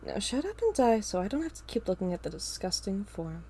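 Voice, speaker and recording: A woman voicing a cold, power-hungry female villain who speaks in a sneering, flat tone. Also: mid-range voice, fairly quick, slight background noise.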